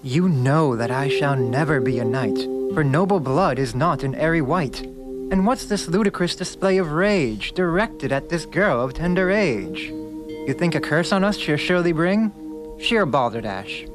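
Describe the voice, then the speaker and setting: A man's voice: on the high side, lively intonation, unhurried, music in the background. A man playing a headstrong, gallant young squire, earnestly speaking archaic rhyming verse in a radio drama. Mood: indignant. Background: musical accompaniment.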